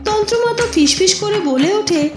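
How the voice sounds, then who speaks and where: A female narrator tells a cartoon tale dramatically. Her high voice swings widely in pitch. It has a roomy echo over music. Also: lively intonation, moderate pace. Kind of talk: dramatic scripted story narration.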